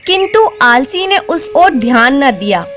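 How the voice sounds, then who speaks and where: A woman narrating a scripted folk tale as a storyteller, in an expressive, measured way. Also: high voice, faint music behind.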